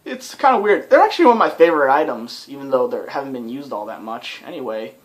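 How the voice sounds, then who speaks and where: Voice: medium pitch, animated, quick pace, rough recording. A young adult rambling casually as a let's play commentator while playing a video game. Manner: rambling and chatty, with many fillers. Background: game soundtrack music.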